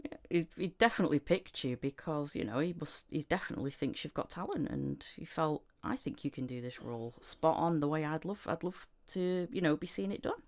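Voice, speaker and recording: A woman hosting a casual interview chat, encouraging and a little halting as she rephrases her questions. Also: low voice, lively intonation, darkish tone. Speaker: podcast host, voice actor.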